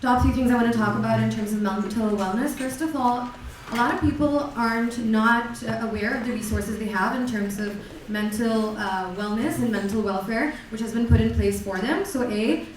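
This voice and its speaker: A young woman with a slightly high voice runs through her points one by one, halting on uhs. She is heard from a distance in a strongly reverberant lecture hall. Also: slight background noise. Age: young adult. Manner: hesitant, lists numbered points. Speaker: student election candidate. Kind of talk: debate answer.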